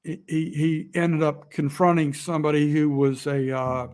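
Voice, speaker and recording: A man talking to camera in an emotional, rambling vlog, halting often with fillers and restarts. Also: fairly high pitch, steady tone, a little mellow, clean tone. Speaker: outdoor vlogger. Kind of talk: emotional casual monologue.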